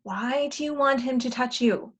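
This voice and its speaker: Actress as bossy, high-voiced female matchmaker. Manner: brisk, sardonic, directive. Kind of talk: virtual table read of a comedy play.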